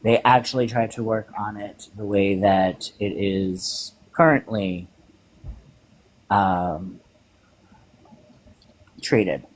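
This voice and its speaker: A man hosting a casual live-stream chat, speaking in a halting way with many pauses and ums. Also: lowish voice, low-quality recording.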